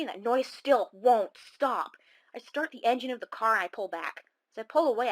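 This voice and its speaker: A woman's voice: a little high, a bit bright, low-quality recording. A woman playing a shaken caller who recounts a monster encounter in a dramatic, confiding first-person radio horror story. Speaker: caller telling her story.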